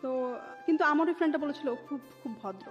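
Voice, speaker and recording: A Bangladeshi woman in her thirties speaks in a fairly high voice, recounting personal experiences in conversation. She mixes in English words. The recording is a bit dull, with music playing behind her. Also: quick pace. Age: 30s. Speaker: interview guest. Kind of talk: personal life storytelling in conversation.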